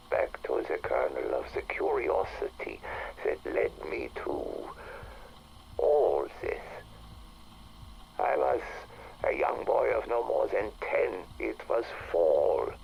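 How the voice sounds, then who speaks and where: An actor playing an elderly occult scholar in a game audio log, reminiscing in a halting, theatrical way with a breathy, gravelly voice. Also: male voice, fairly low pitch, big pitch swings, highs cut off, slight background noise, full character voice. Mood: wistful, awed. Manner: halting, theatrical, savoring words. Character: elderly occult scholar reminiscing about his boyhood, wonderstruck.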